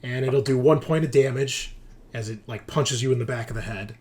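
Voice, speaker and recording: A man's voice: medium pitch, brisk, darkish tone. A man running a tabletop roleplaying game as game master, explaining rules and procedure step by step. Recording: clearly noisy background.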